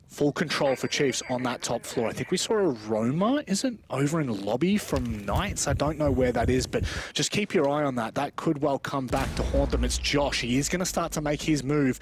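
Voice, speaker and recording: A man giving chatty, colloquial live esports play-by-play commentary. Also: medium pitch. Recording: gunfire and clicks behind voice. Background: video game gunfire.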